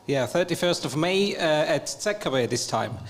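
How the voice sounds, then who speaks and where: A man hosts a meetup and introduces its final speaker. He speaks informally, with plenty of fillers. His voice is a bit high for a man, and the live stream carries long reverb from the hall. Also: expressive, a little slow.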